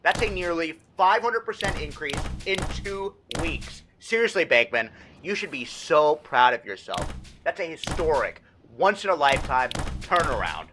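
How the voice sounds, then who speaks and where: A smug, animated creepy boss. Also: male voice, on the high side, normal speed, background noise. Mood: gushing praise. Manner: over-friendly, theatrical, passive-aggressive. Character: smug, creepy male corporate boss, falsely chummy and menacing. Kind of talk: video game character voice acting.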